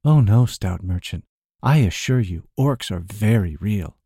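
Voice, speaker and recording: A man voicing a smooth-talking, sly young male rogue who speaks in a purring, grandiose way in a storybook reading. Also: fairly low pitch, expressive, measured pace, warm tone, no room sound, studio quality, full character voice.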